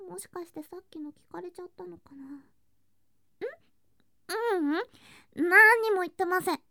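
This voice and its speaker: A woman playing an affectionate, clingy younger girl cousin in an intimate bedtime ASMR drama, speaking softly and breathily, often in whispers, drowsy and coaxing. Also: very high-pitched, moderate pace, vocal fry, dry recording, full character voice. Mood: playfully flustered. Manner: soft, drowsy, coaxing, sweetly childlike.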